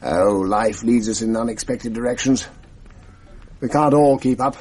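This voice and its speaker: An actor playing a genial, chatty retired schoolmaster, older, in a 1980s radio drama. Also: male voice, mid-range voice, a little slow, darkish tone. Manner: genial, chatty, old-fashioned.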